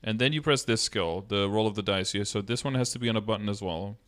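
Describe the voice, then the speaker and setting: A man's voice: on the low side, fast talker, a bit bright. A man in his thirties giving a casual step-by-step explanation as a game streamer, speaking into a close mic indoors. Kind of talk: casual live-stream tutorial, answering chat questions. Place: indoor room, streaming setup.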